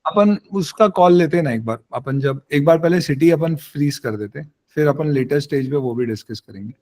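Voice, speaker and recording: An Indian man with a moderately high voice answers questions as a webinar mentor. He explains things in a frank, informal way and slips between Hindi and English as he advises. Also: fairly quick, a little mellow. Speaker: careers webinar host and mentor. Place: online webinar call.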